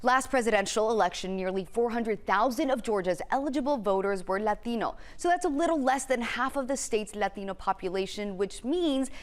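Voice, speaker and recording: A woman's voice: fairly high pitch, a little fast, hardly any pauses. A woman anchoring a local news segment, reading scripted lines in an upbeat, polished way.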